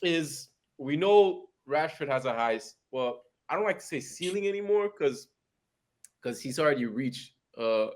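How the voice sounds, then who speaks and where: A young man with a Haitian accent, co-hosting a podcast and arguing about football with animated energy. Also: a little high, moderate pace. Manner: animated, laughs and cuts in. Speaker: podcast co-host. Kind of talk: casual football debate.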